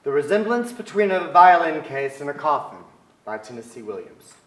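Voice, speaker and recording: A man in his forties giving a measured, reflective dramatic reading of literary prose. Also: on the high side, very animated, a bit bright. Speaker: stage actor, reader. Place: on stage in a theater with an audience. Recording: echoey theater stage.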